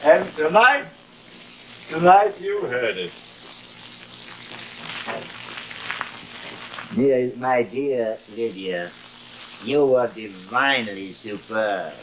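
Cruel drunken father, vain stage star, slow grandiose slurred delivery, swooping pitch, hissy 1950s TV drama. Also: expressive, poor audio. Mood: drunken, gloating. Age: middle-aged. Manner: grandiose, slurred, taunting, repeats phrases. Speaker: actor in a television horror drama. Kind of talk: theatrical 1950s live television acting. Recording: hissy old 1950s television soundtrack.

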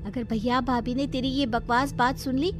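A woman voicing an anxious young sister of an Indian family in an animated horror story, with music underneath. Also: high-pitched, normal speed, close to an everyday voice. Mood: shocked scolding.

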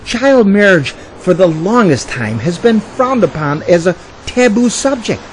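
A man's voice: sits high, big pitch swings, unhurried, a little hiss or hum. An actor playing a self-satisfied man in his fifties, earnestly defending a cause. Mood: pleased, earnest. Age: 50s. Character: self-satisfied fifty-two-year-old male zealot for a cause.

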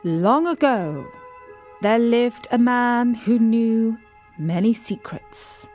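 A woman narrating a scripted story for children as a storyteller, with measured, deliberate phrasing. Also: fairly high pitch, lively intonation, a little mellow, faint music behind.

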